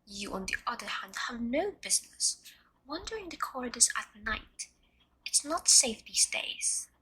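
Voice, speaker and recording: A woman acts as an officious teenage boy prefect. The voice is very breathy and husky with a sharp edge, pompous throughout, in an audio play. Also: on the high side, sing-song, moderate pace, full character voice, rough recording. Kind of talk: audio drama acting.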